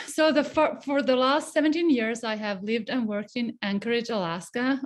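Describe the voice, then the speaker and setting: A middle-aged woman from Finland with a Finnish accent, giving an online presentation in a casual, conversational way with fillers, over a computer mic. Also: mid-range voice. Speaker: guest speaker, avalanche researcher.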